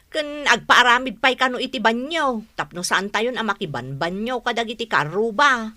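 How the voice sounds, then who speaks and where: A bright, eager daughter. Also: female voice, a little high, expressive, normal speed, no room sound, clean studio sound. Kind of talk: Ilocano radio drama acting.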